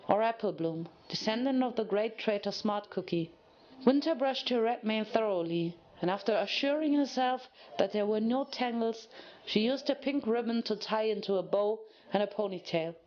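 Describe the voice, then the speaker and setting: A woman's voice: mid-range voice, a little mellow, dull audio. A woman reading a story aloud as narrator, in a dramatic scripted style.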